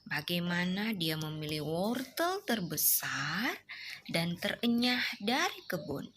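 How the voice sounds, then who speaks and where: A woman reading a storybook aloud to her young child at night, gently asking the child questions along the way. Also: on the low side, big pitch swings, slight background noise. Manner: slow, gentle, coaxing, asks the child questions.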